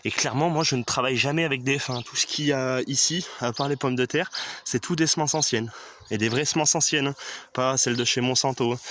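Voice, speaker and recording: A man's voice: mid-range voice, fairly bright tone. A man giving a casual, improvised garden tour as a vlogger, speaking in an enthusiastic and emphatic way. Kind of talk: casual improvised vlog commentary. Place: outdoors in a vegetable garden. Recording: clear background noise, intermittent clicks.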